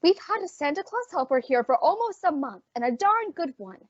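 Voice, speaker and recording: A woman's voice: high-pitched, very animated, brisk, no room sound. A woman playing an earnest, frazzled department store advertising executive in an old-time radio drama. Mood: exasperated, pleading. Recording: dry, dull lo-fi audio.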